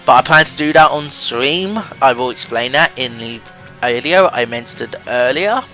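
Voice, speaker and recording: A man's voice: medium pitch, expressive, normal speed, bright, forceful tone, low-quality recording. A young man giving casual, rambling and hesitant let's play commentary over game music. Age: young adult.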